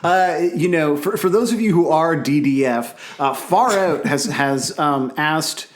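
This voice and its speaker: Rambling man with a higher voice. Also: a little hiss or hum. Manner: rambling, halting, with many fillers. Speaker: podcast co-host. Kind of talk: casual chat.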